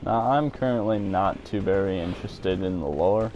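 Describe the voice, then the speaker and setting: Low-voiced man streaming a game, noisy background. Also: moderate pace, muffled recording. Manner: rambling, thinking aloud. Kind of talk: casual live gameplay commentary. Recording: noisy home stream, steady loud background noise.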